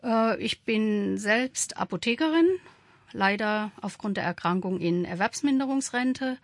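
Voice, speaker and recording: A woman's voice: mid-range voice, lively intonation, a little slow, no echo. Woman in her sixties, explaining carefully. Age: 60s. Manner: explanatory, with frequent hesitations. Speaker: support group leader. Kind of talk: radio interview guest explaining. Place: radio studio.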